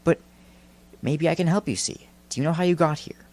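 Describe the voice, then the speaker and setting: A man voicing a friendly, earnest boy spirit in a story, soft-hearted, hesitant and patient. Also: fairly high pitch, a little fast, pitched above the performer's own voice, natural voice. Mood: earnest, unsure.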